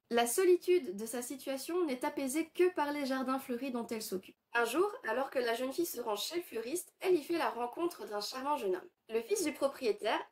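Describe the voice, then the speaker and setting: A woman with a cold, nasal and hoarse, hosting an eager manga review and reading out story summaries. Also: high voice. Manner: gushing, eager, warm praise. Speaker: manga reviewer and channel host. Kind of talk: enthusiastic book review with read-out summaries.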